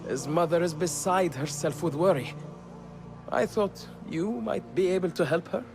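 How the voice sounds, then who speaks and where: An actor playing a friendly, excitable informant in a video game, polite and conspiratorial. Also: male voice, high voice, normal speed, creaky, over music. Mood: confiding, earnest. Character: furtive, excitable, friendly male tea-house informant. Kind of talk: video game cutscene acting.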